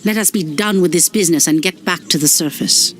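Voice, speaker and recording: Een vrouw speelt een trotse elfenpriesteres. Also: female voice, on the low side, fairly quick, a little hiss or hum, close to an everyday voice. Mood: proud. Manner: proud, scornful. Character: proud female elf priestess, fierce and scornful. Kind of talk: game cutscene acting. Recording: voice over loud music.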